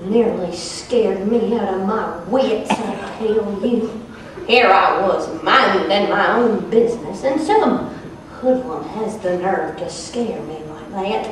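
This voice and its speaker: A woman's voice: mid-range voice, expressive, takes it slowly. A young woman performing a comic stage monologue as a feisty, chatty Southern woman with a Southern US accent, folksy and sassy, bragging about herself, heard from far off in an echoey, noisy hall. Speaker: student performer.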